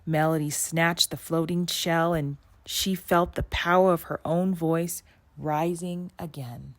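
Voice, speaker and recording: A woman reading a children's story aloud in an expressive, deliberate storytelling manner with dramatic pauses. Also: low-pitched, dry recording. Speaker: storybook reader. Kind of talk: scripted read-aloud narration.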